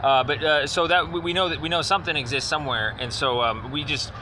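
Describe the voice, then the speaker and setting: A man speaking as a caller making an informal inquiry, explaining at length in a halting, rambling, wordy way. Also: a little high, steady tone, sharp tone. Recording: noisy phone call with outdoor traffic.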